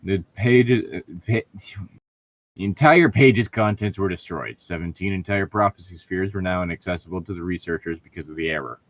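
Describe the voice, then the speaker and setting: Low-voiced sardonic man reading and heckling. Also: moderate pace. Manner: sardonic, irritable, profane heckling. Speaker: co-host reading fan fiction aloud with mocking commentary. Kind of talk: reading aloud with sarcastic riffing and casual banter.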